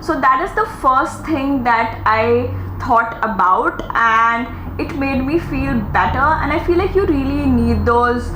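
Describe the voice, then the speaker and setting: A woman in her thirties hosting a podcast, talking through her thoughts in a casual, earnest monologue and often slipping into animated quoted asides. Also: a little high. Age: 30s. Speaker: podcast host. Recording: echoey room with faint noise.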